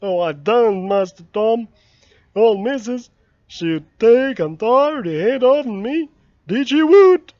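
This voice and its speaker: A man's voice: very high-pitched, animated, slow pace, darkish tone, no echo, voice pushed up, heavily acted. A man voicing a timid, nervous young boy who pleads anxiously, in a dull, dry recording.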